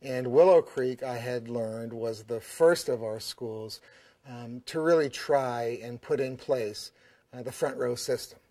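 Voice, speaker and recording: A man's voice: medium pitch, measured pace, warm tone. A man who works for a school district, speaking hesitantly with many fillers in an interview. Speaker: school district staff member. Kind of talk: documentary interview.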